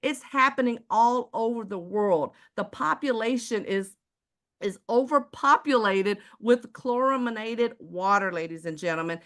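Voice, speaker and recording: A woman with a Texas accent giving a live online sales presentation, using many rhetorical questions and calling out to her listeners as ladies and gentlemen. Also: on the high side, measured pace. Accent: Texan. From Houston, Texas. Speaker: presenter selling water filters.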